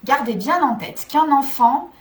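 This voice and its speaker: A woman's voice: sits high, animated, measured pace. A woman psychologist giving scripted, structured advice to parents in a didactic way, addressing listeners directly.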